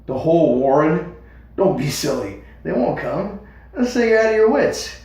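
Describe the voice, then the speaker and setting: A man voicing a level-headed young male rabbit who talks in a calm, reasonable way. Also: a little high, moderate pace, voice pushed up. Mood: doubtful, earnest. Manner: calm, reasonable, a little doubtful. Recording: echoey room, far from mic.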